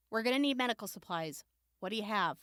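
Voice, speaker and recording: A woman playing a careful wasteland traveler in an audio drama, expressive and questioning as she haggles over supplies. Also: mid-range voice, moderate pace, dry recording, clean studio sound, close to an everyday voice. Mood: impatient. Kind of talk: post-apocalyptic audio drama acting.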